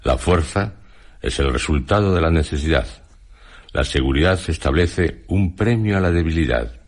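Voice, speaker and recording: An actor playing a reflective, grave male time traveller, in a measured, solemn radio monologue. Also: a bass voice, expressive, full character voice. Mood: solemn, musing. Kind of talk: dramatized literary monologue in a radio programme.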